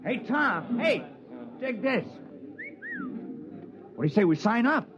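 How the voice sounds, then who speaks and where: High-voiced eager miner, old radio. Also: male voice, very animated, takes it slowly, background noise, full character voice. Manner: slangy, urging. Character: eager, gullible miner on Mars. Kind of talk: 1950s radio drama acting. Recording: dull 1950s radio recording.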